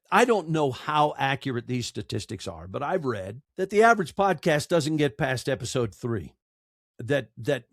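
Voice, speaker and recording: A man's voice: mid-range voice, dry recording, studio quality. A man speaking as a podcast guest, giving conversational advice emphatically and repeating phrases for effect.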